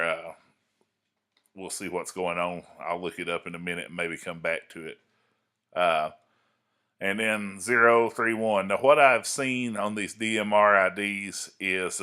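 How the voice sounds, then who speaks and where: Deep, bright-voiced man explaining informally. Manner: folksy, explanatory, checks in with "okay?". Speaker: amateur radio hobbyist presenting a tutorial. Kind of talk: informal screen-recorded tutorial.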